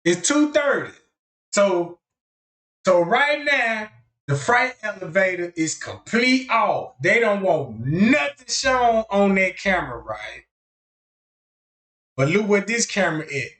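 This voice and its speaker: Stream host, casual, pointing out details to viewers, mid pitch, echoey room. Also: very animated, takes it slowly, a bit bright. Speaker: livestream host. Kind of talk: casual commentary over video footage.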